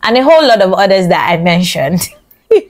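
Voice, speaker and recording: A woman's voice: on the low side, very animated, fairly quick, slight background noise. A young woman giving a casual social media tutorial, chatty and repetitive, stuttering and restarting her sentences, speaking close into a studio mic. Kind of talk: casual talking-head tutorial. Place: small indoor studio with colored lights. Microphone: large studio mic close in front.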